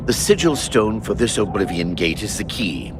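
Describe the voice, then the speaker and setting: An actor playing a brash, vain young knight in a fantasy game, declaiming in a grandiose, theatrical manner. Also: male voice, on the low side, big pitch swings, moderate pace. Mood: pompous, rallying. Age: young adult. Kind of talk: fantasy video game acting. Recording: music behind the voice.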